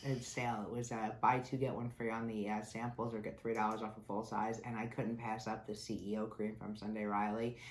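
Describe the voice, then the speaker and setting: A woman reviewing beauty subscription boxes in a casual, chatty way, sounding nasal from a cold. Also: a bass voice. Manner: chatty, candid, grumbles about packaging. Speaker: beauty vlogger. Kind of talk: casual unboxing chat. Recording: echoey room with faint noise.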